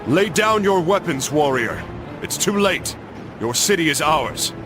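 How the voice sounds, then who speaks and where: An actor playing a calm, resolute young crusader knight in a video game, speaking steadily and solemnly. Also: male voice, a little high, unhurried, music in the background. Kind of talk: dramatic video game acting.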